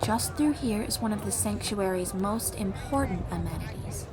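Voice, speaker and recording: A woman playing an elven ice sorceress, a gracious, formal and gently proud guide speaking unhurriedly to the listener in an audio roleplay. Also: mid-range voice, animated, normal speed, very noisy, lightly acted. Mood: proud, welcoming. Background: music.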